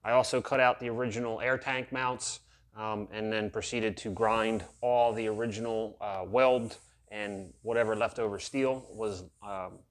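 Man talking through his garage build. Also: medium pitch. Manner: relaxed, halting, explaining step by step. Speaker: vlogger building a truck. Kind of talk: casual workshop update. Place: large garage workshop, indoors.

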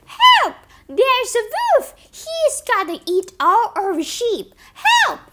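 A woman voicing a mischievous young shepherd boy in a puppet show, screaming and whining in a high childish voice. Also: wildly swooping pitch, takes it slowly, heavy background noise, heavily acted. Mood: frantic. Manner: shrill frantic screaming for help.

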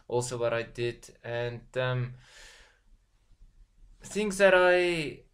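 Halting Afrikaans-accented man on a webcam. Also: mid-range voice, expressive, a bit bright. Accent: South African Afrikaans. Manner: halting, many ums and repeated words. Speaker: online business vlogger. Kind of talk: casual motivational talk to camera. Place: small room at home, indoors. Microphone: webcam at desk distance.

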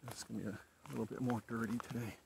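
A male vlogger talks casually while walking a forest trail. His medium-pitched voice has plenty of fry, and he speaks in short, unhurried phrases. The handheld outdoor recording carries faint natural ambience. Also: steady tone, noisy background. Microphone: handheld camera held by the speaker.

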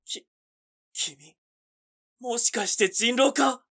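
An actress playing a frightened young villager in a voice drama, stammering and pleading. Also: female voice, on the high side, drawn-out words, creaky, a bit bright, breathy edge, exaggerated voice. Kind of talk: Japanese voice drama acting.

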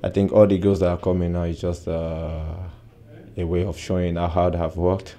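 Man, 20s, footballer, post-match interview, monotone, hesitant, close mic indoors. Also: low voice. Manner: soft-spoken, frequent "uh" pauses. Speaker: football player. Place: indoor press backdrop at a stadium.

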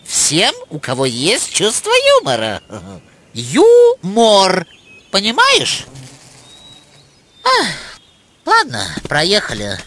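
A woman's voice: mid-range voice, huge pitch swings, extremely slow, long pauses, a bit bright, slight background noise, full character voice. A woman voicing a touchy, bossy middle-aged female owl in a cartoon, theatrical and lecturing. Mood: insistent, lecturing. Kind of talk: animated cartoon acting. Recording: music behind the voice.

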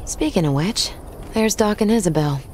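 An actress playing a bold, level-headed Western adventuress in a video game, firm and confident. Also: female voice, medium pitch, animated, normal speed, a little mellow, slight background noise, natural voice.

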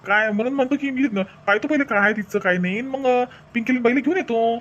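A man's voice: very high voice, quick pace, fairly bright tone, dull audio. A man playing a bossy village husband and brother in a comedy cartoon, brusque and repetitive, with a Vidarbha Marathi accent. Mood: dismissive. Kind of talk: exaggerated cartoon family comedy.

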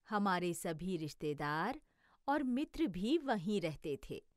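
A woman voicing a gentle, homesick village mother who explains things patiently. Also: medium pitch, no echo, studio quality. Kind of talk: educational cartoon voice acting.

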